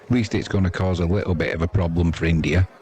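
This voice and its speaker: A man's voice: low voice, fairly even intonation, fast, a little mellow. A man giving casual, blokey live cricket commentary, conversational and opinionated as he chats with viewers.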